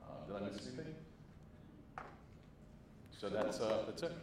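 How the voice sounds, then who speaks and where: A man in his forties summarizing a session at a conference into a handheld mic, halting with many fillers and restarts. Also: on the low side, very animated. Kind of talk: impromptu summary talk. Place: large conference room. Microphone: handheld microphone. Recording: very echoey room with noise.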